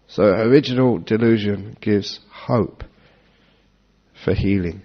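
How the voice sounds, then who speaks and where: A man giving a relaxed Dharma talk to an audience, joking and chuckling as he answers questions. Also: fairly low pitch, slow pace. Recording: dull lo-fi talk recording.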